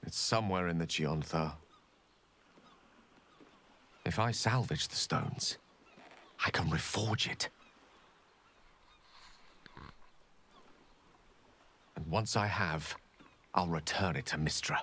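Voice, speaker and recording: An actor playing a learned, earnest, gently wry wizard in a video game, articulate and theatrical. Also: male voice, low voice, unhurried, long pauses, a little hiss or hum. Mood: intent, hopeful. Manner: articulate, theatrical, ornate phrasing. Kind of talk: dramatic video game acting.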